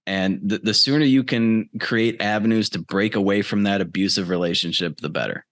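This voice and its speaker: Low-voiced American man, casual podcast guest. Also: brisk, fairly bright tone, dry recording. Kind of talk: casual conversational interview. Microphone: close mic on a remote video call.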